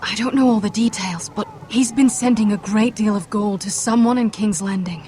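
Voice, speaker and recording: A woman voice acting a guarded, earnest young noblewoman in a fantasy game drama, speaking in grave, measured, formal phrases. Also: medium pitch, a little mellow, a little hiss or hum, lightly acted. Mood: urgent, grave.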